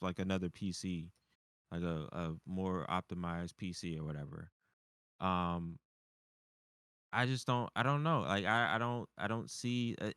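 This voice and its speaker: A man co-hosting a casual gaming discussion, talking haltingly and repeating his phrases. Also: low-pitched, a bit bright, clean tone, no echo, studio quality. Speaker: co-host of a gaming talk show.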